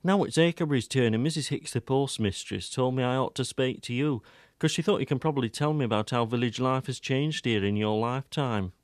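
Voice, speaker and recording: A man's voice: medium pitch, a little fast, dry recording, clean studio sound, natural voice. A man voicing a polite young newspaper reporter in an audio drama. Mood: polite, chatty.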